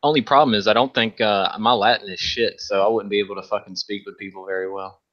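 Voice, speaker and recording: A man's voice: on the low side, steady tone, quick pace, a bit bright. A man bantering casually, laid-back and agreeable, swearing freely. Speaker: co-host of a casual stream. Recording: dull, lo-fi voice chat.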